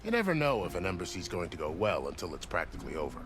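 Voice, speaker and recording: Genial, low-voiced army officer. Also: male voice, wildly swooping pitch, very fast, heavily acted. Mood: wry, explanatory. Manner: courteous, wry, teasing. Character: genial, wry second-in-command army officer. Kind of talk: video game cutscene acting. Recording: music behind the voice.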